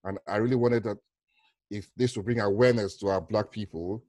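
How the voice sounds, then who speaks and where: A man's voice: on the low side, steady tone, fairly quick, darkish tone, no room sound. A middle-aged man with a Nigerian accent, answering interview questions conversationally and often rephrasing himself as he goes. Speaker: counsellor, interview guest. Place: small room at home, on a video call. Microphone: headset.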